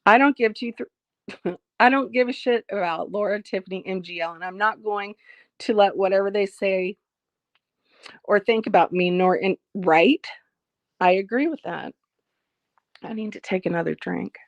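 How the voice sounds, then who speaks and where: A woman hosting a casual livestream, reading out viewer comments and speaking frankly about her life, slightly hoarse as she loses her voice. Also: medium pitch, normal speed, pauses often, no room sound. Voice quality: frank, blunt, swears freely. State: losing her voice, a bit hoarse. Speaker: livestream host.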